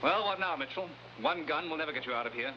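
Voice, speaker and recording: A smug, genteel ringleader acted by a man talks briskly in a fairly high male voice. He is suave and condescending in 1950s TV style, on a muffled, noisy old soundtrack. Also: expressive, natural voice. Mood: smoothly confident. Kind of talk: 1950s television adventure acting.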